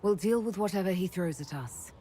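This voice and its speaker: A woman's voice: on the low side, animated, brisk, warm tone, close to an everyday voice. An actress playing a hopeful, heroic female leader in a fantasy video game, assured and plain-spoken. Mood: bold. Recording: hall reverb, faint noise.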